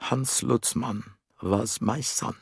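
A man playing a dry-voiced, hoarse, middle-aged German father, grave and insistent, in a story reading. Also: medium pitch, fairly even intonation, slow, no room sound, pitched above the performer's own voice, heavily acted.

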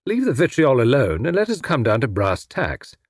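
A man's voice: medium pitch, wildly swooping pitch, normal speed, husky, no echo, studio quality. A man voicing a cheerful, flippant young English detective, breezy and unruffled in a story. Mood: breezy, placating.

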